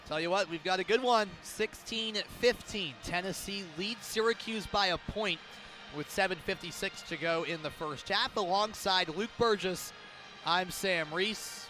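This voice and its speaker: A man's voice: high-pitched, measured pace, sharp tone, soft background music. A man doing live radio basketball play-by-play in clipped, vivid calls. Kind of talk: live sports commentary. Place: basketball arena during live games. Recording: clear arena noise, bouncing basketball.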